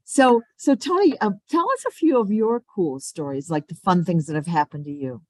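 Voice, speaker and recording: Low-voiced woman hosting an interview. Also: lively intonation, moderate pace, dry recording. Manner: friendly, brief encouraging prompts. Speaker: interview host. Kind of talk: casual interview.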